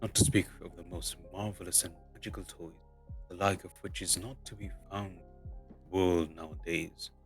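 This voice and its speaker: A man voicing a proud, impatient dwarf leader in a storybook reading, with grandiose, emphatic, halting delivery. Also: low voice, steady tone, soft background music. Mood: proud, reminiscing.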